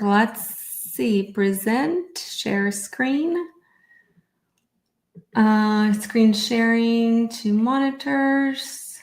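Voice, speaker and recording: A woman with a Brazilian accent talks into a computer mic on a video call, in a fairly high voice and an unhurried, chatty way. She sounds upbeat and thinks aloud as she goes. The room around her is echoey. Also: gravelly. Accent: Brazilian Portuguese. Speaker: therapy educator hosting a live webinar. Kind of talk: casual live online presentation.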